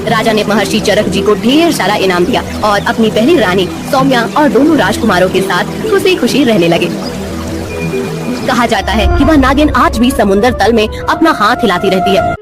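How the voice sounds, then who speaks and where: A woman's voice: medium pitch, animated, moderate pace, loud music behind the voice. A woman narrating a scripted bedtime story as the storyteller.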